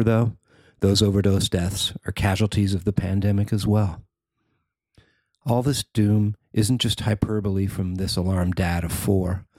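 A man's voice: on the low side, darkish tone, dry recording. A middle-aged man reading a scripted personal feature as its narrator. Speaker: writer and narrator.

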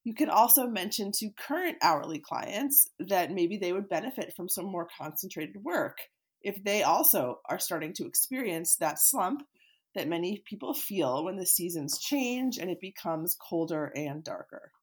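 A woman's voice: lowish voice, moderate pace, slightly rough. A woman around forty hosting her own podcast, talking casually and teaching, with a scratchy voice from a cold. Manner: chatty, animated, laughs easily, occasional fillers. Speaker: podcast host and therapist. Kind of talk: solo podcast talk, teaching.

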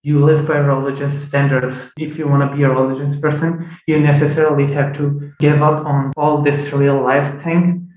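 A young man whose first language is Punjabi, a podcast guest, explaining his views in a thoughtful, measured way with frequent fillers. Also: on the high side, flat delivery, a little mellow, echoey room, dull audio. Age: young adult. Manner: thoughtful, measured, uses many fillers like "you know". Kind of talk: reflective conversational explanation.

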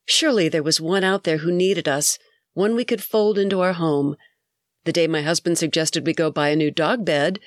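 A middle-aged woman hosts her own show, her voice a bit low and stuffy from a cold. She reads a personal story in a reflective, gently wry way. The recording is dry and close, with no room sound. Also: no room sound. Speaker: podcast host. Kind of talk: reading a personal essay.

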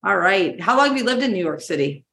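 A woman's voice: medium pitch, very animated, fast, creaky, a bit bright. A woman hosting a casual interview in an informal, encouraging way, recorded with a roomy echo. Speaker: interview host. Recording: roomy echoing sound.

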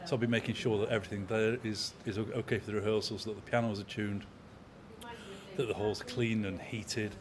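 A man's voice: on the low side, little pitch movement, brisk. Man in his sixties, crowd behind. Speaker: opera company manager. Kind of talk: interview. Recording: crowd chatter in background.

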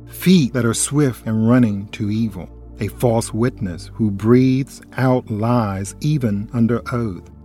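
A man co-hosting a Bible study who gives scripted teaching in an earnest, steady way. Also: mid-range voice.

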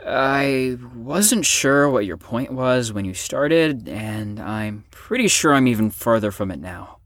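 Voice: medium pitch, expressive, takes it slowly, no room sound. An actor in an audio drama playing a guarded, sardonic recovering addict with a defensive, dry manner. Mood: skeptical.

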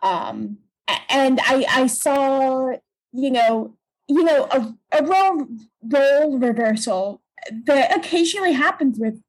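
A young American woman with a high, childlike voice chats in a casual interview. She speaks reflectively and a little hesitantly, finding her thoughts as she goes. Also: a little mellow, low-quality recording. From Pennsylvania, United States. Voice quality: childlike, youthful tone. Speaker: voice and stage actor, interview guest.

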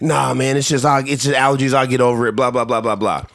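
A man's voice: mid-range voice, little pitch movement, fast, a bit bright, dry recording, studio quality. A man chatting casually as a podcast co-host, with laid-back, slang-heavy banter. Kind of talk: casual chat.

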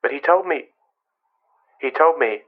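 An actor playing a wistful, controlling, quietly menacing man in a radio play, speaking in measured, fragmented phrases. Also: fairly high pitch, expressive, lo-fi sound, slight background noise. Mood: wistful, detached. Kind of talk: radio play monologue and dialogue.

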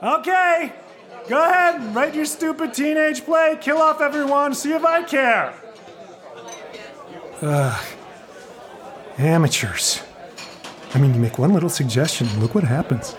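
Glib theatre adviser: high, swooping voice, flippant salesman's patter, condescending, comic audio play. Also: animated, a little slow, long pauses, fairly bright tone, some chatter behind, full character voice. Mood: exasperated. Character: pushy, glib male theatre pro giving advice. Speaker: actor. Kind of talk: comic podcast play dialogue.

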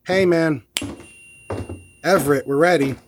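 An actor playing a laid-back, crude guitarist who talks in excitable slang. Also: male voice, fairly high pitch, measured pace, heavy background noise, close to an everyday voice. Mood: excited.

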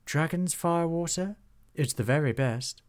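A man voicing a slow, courteous, ancient female dragon in a storybook reading. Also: fairly high pitch, voice pushed up, natural voice. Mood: friendly.

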